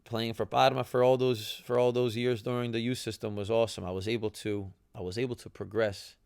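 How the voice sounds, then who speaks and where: A man's voice: mid-range voice, level tone, a little fast, creaky, darkish tone, clean tone, dry recording, clean studio sound. A young man, a podcast host and former soccer player whose first language is Italian, telling stories casually with lots of fillers and "right?" tags. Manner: conversational, many fillers and tag questions. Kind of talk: casual storytelling.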